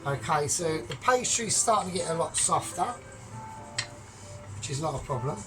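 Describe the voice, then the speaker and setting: A man's voice: fairly high pitch, animated, moderate pace, poor audio. Chatty man explaining cooking steps. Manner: chatty step-by-step explaining, joking asides. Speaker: home cook. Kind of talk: casual cooking tutorial. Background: music.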